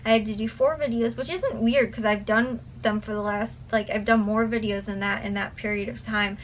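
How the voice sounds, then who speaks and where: A woman's voice: on the high side, steady tone, moderate pace, slight background noise, low-quality recording. A tired young woman vlogger chatting casually to her viewers at home at night, rambling with lots of fillers and restarts, her voice a little worn. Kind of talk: casual chat to camera.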